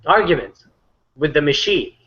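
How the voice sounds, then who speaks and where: A man's voice: very animated, moderate pace. Rambling man on a lo-fi call. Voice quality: not deep. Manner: rambling, many fillers and restarts, laughs between points. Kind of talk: casual group chat.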